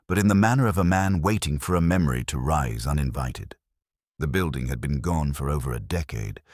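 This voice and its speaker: Male narrator, extremely low pitch, expressive intonation, measured, dramatic, scripted detective story. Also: no echo, clean studio sound.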